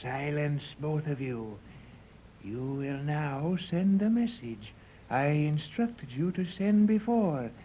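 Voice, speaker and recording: An actor playing an imperious, condescending villain with menacing, formal speech in a 1930s radio serial. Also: male voice, a little high, lively intonation, slow pace, slight background noise. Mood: imperious command. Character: imperious villain commander of island with ray gun. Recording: dull, muffled 1930s radio recording.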